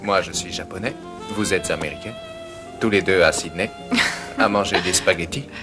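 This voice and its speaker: A man acting as a reserved, courteous tenant in a film thriller. His voice is low and soft-spoken, and he keeps his sentences short. Music plays clearly behind him. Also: moderate pace, natural voice. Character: quiet, polite, reserved Japanese male tenant living in Sydney. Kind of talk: French-dubbed 1990s film thriller dialogue.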